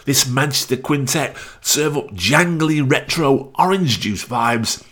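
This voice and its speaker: A man's voice: mid-range voice, animated, a little slow, a bit bright. A man hosting a new music radio show, presenting links between songs in a radio DJ style.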